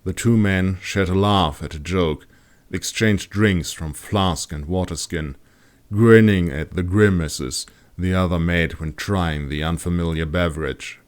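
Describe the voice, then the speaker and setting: Deep voiced guy reading a fantasy audiobook, storyteller narration. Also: unhurried. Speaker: author reading own audiobook.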